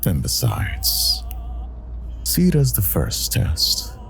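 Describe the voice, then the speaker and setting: A man's voice: sits low, wildly swooping pitch, slow, creaky, a bit bright, soft background music, exaggerated voice. A man playing a stern, realistic demon of royal rank in an audio drama, speaking in a sober, dry, formal manner.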